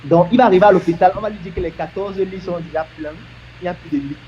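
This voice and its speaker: A man's voice: high-pitched, fast talker, dark tone, highs cut off, slight background noise. A man making an earnest, emphatic argument in a discussion, building his points with examples.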